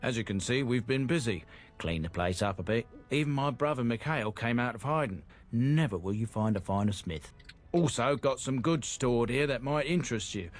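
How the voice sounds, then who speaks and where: A man's voice: medium pitch, lively intonation, normal speed, lightly acted. An actor playing a friendly, earnest merchant who is proud of his family, in a fantasy video game, with music behind him. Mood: welcoming, proud.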